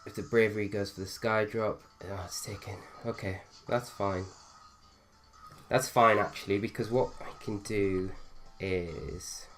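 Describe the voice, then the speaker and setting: Young man, lowish voice, nasal with a cold, hesitant casual game commentary, faint music under him. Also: fairly even intonation, unhurried. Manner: thinks aloud, hesitant with fillers. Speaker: gaming video host.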